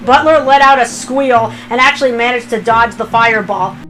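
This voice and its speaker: A woman narrates a written story in a fairly high voice. She reads the scripted prose aloud as storyteller, with music clearly playing underneath. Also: moderate pace, a bit bright, rough recording. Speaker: fan fiction reader, narrator.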